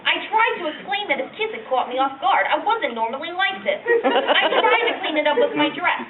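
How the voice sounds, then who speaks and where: A woman playing an embarrassed, wistful woman of seventy-two in a comic stage monologue, halting and repeating herself. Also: sits high, animated, echoey room, pitched above the performer's own voice. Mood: hurt, wistful. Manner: flustered, halting, repeating phrases for effect. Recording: muffled distant stage recording, noisy laughing audience.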